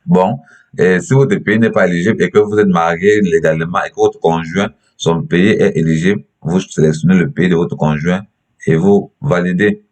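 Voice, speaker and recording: Tutorial presenter: young man, Cameroonian accent, deep low register, halting instructional delivery with frequent repetition. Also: fairly even intonation, a little mellow. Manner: halting, repeats himself. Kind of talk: step-by-step screen tutorial.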